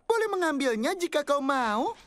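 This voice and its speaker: A woman voicing a naive, earnest young child in an animated adventure cartoon. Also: high-pitched, normal speed. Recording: music playing behind the voice.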